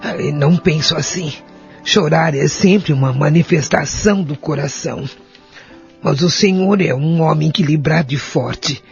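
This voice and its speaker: A man playing a gentle, wise woman in an audio drama, reassuring and softly preaching. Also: on the high side, a little slow, vocal fry. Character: gentle, wise woman consoling an elderly friend. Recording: dull lo-fi audio, faint music behind.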